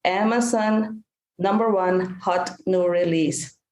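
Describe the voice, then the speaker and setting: A woman's voice: lowish voice, takes it slowly, roomy sound, low-quality recording. A Dutch woman, a native Dutch speaker, telling her story earnestly as a podcast guest. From Netherlands. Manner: earnest, animated retelling with repeated phrases. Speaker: guest, caregiver coach and author.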